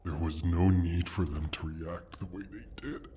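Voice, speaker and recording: A man voicing a proud, menacing dragon guarding his hoard in a slow, theatrical fantasy roleplay, with a breathy rumble and a deliberate, condescending manner. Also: fairly even intonation, mellow. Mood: weary, brooding. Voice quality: husky, breathy rumble with whispered edges. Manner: deliberate, brooding, condescending, sighing.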